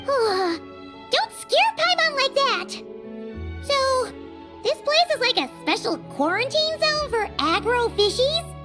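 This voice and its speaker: A woman voicing a small, excitable, childlike fairy companion in an anime game, squeaky, chatty and exclamatory. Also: takes it slowly, loud music behind the voice, heavily acted. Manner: speaks of herself in third person, exclamatory.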